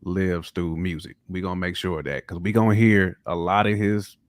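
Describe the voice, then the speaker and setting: Low-voiced man in casual, emphatic chat. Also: fairly even intonation, normal speed, dry recording, clean studio sound. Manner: slangy, emphatic, repeats phrases for emphasis. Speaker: hip-hop podcast co-host.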